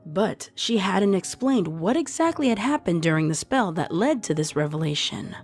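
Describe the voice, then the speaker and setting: A woman's voice: fairly low pitch, very animated, moderate pace, soft background music. A woman narrator reading a scripted story.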